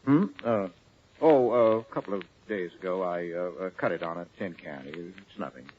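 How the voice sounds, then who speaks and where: A man acting a nervous, low-voiced professor in old radio drama. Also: moderate pace, darkish tone, lightly acted. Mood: uneasy. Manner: hesitant, stammering, nervous little laughs. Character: nervous, evasive middle-aged professor hiding guilt. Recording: muffled, noisy 1940s radio broadcast recording.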